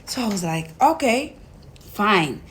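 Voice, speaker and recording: A young woman with a Tanzanian accent tells her own story casually, in an animated way, acting out conversations as she goes. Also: medium pitch, slow pace, slight background noise. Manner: animated retelling, acts out quoted conversations.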